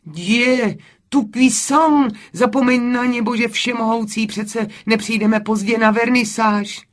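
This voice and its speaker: Woman acting out an impatient, high-pitched young Frenchwoman. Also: sing-song. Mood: flustered urgency. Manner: exclamatory, emphatic, sprinkles in French oaths.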